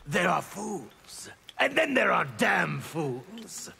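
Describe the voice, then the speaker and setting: A man voicing a sadistic, boastful warlord with a gravelly voice, delivering villain taunts in a video game. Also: high voice, very animated, takes it slowly, heavily acted. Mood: sadistic, gloating. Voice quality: gravelly, creaky. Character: sadistic, boastful male warlord in war paint. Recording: loud noise behind.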